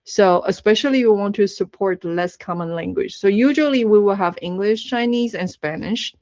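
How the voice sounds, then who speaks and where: A woman's voice: mid-range voice, moderate pace, a little mellow, no echo, lo-fi sound. A woman from Taiwan with a Taiwanese accent, speaking English as a webinar guest, earnest and laughing often, with many fillers. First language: Taiwanese Hokkien. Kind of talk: presenting in a webinar.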